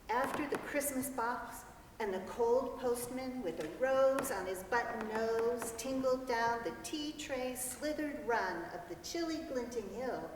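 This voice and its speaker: A woman giving a dramatic reading of a descriptive literary story. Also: on the high side. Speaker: reader in a church's dramatic reading. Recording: very echoey hall, distant mic, faint noise.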